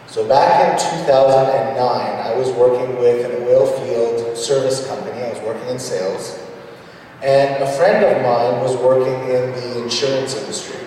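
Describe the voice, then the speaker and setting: Mellow man presenting in an echoing hall. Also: medium pitch, normal speed. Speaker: presenter, small business owner. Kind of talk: introductory business seminar talk. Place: large reverberant meeting hall. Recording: echoey hall, distant mic, noisy ambience.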